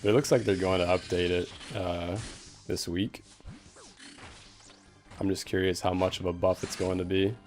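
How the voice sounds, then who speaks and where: A man's voice: low voice, little pitch movement, normal speed, pauses often, faint music behind. A young man streaming a game, giving easygoing commentary full of "like" fillers and answering chat questions. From Canada. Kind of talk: casual gameplay commentary.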